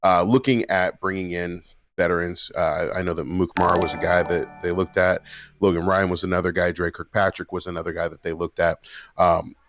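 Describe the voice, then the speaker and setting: A man co-hosting a sports podcast, giving his opinions in a measured way with fillers. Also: sits low, level tone, a bit bright, highs cut off. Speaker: podcast co-host. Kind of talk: casual sports talk.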